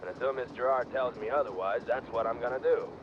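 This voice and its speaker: An actor playing a grumpy, gruff train engineer in a game, talking in a dismissive way. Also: male voice, mid-range voice, fast talker, dull audio, noisy background, lightly acted.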